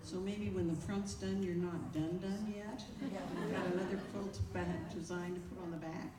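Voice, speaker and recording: A woman's voice: fairly low pitch, steady tone, moderate pace. A woman co-presenting at a club meeting, reminiscing informally in a room, picked up by a distant mic. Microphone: distant camera mic. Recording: far-off echoey room, clear background noise.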